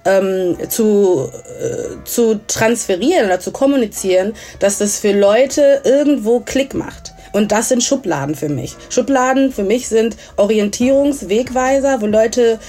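A woman's voice: a little high, a little slow, hardly any pauses, warm tone, faint music behind. A thirty-year-old woman from Germany chatting casually as an interview guest, mixing English words into her talk. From Germany, grew up in Essen.

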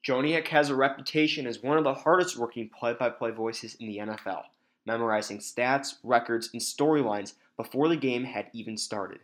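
A young adult man with a middle-pitched voice. He reads scripted narration for a podcast feature in a documentary manner. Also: moderate pace, a bit bright.

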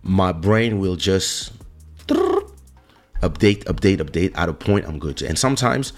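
A man from Congo with a very deep voice hosts a podcast in an easygoing monologue. He rambles and corrects himself mid-sentence, and soft music plays faintly behind him. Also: slow. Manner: relaxed, rambling, self-correcting. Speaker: podcast host. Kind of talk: casual monologue.

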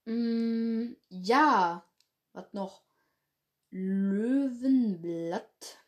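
A woman's voice: on the high side, very slow. A woman chatting casually in her bedroom, giggly and rambling, laughing at her own mistakes. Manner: giggly, rambling, self-deprecating. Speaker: host of a fan clan roleplay channel.

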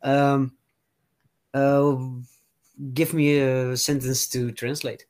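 A man talks casually as a guest over an online call. His voice sits at a middling pitch, and he pauses and hedges with frequent ums. He is easily amused. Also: little pitch movement, a little mellow. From Netherlands. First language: Dutch. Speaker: podcast guest. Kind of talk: casual chat.

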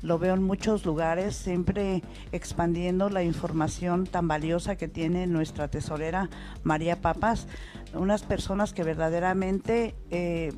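A man's voice: sits high, darkish tone. A man co-hosting a studio interview, giving an effusive, praising introduction. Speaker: co-host.

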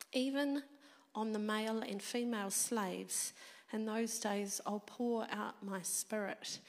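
Slightly croaky woman preaching in a hall. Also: fairly high pitch. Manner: animated, engaging the congregation. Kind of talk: sermon.

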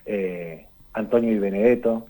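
A man, giving a conversational radio literature column and explaining things unhurriedly, often pausing on "eh" and tagging his sentences with "¿no?". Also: on the low side, warm tone. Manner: unhurried and explanatory, tags lines with "¿no?" and "eh".

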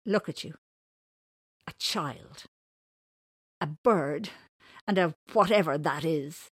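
A woman's voice: sits low, slow, husky, dry recording, clean studio sound, exaggerated voice. A woman voicing an angry, sulky old man in a storybook, grumbling and snapping. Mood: rude, grumbling.

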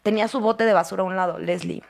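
A woman's voice: medium pitch, fairly even intonation, gravelly, darkish tone. A young Mexican woman co-hosting a podcast, telling stories in a casual, laughing way. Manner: fast, laughing, storytelling. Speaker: podcast co-host. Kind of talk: casual chat.

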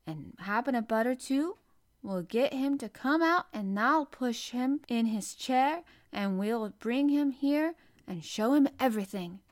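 A woman plays a spirited young girl, a little higher than the narrator, earnestly shaping her borrowed Yorkshire accent. She speaks with proud care. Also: expressive, measured pace.

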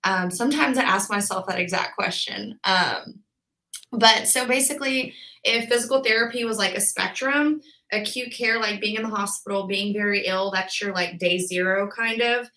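A woman's voice: mid-range voice, moderate pace, pushed and bright. A woman in her twenties, a physical therapist, chatting casually as a podcast guest and explaining her work with many "like"s and "um"s. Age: 20s. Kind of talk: casual interview chat.

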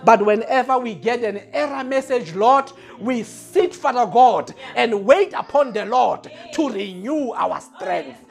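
Man, very high voice, slow, impassioned prayer, soft music behind. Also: animated, vocal fry. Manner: impassioned, repetitive, often shouting praises. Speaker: pastor leading prayer. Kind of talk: fervent spoken prayer and worship.

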